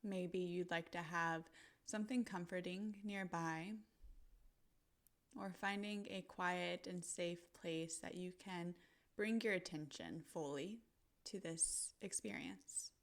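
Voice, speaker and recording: A woman narrating a guided visualization in a gentle, soothing manner, pausing deliberately between short phrases. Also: lowish voice.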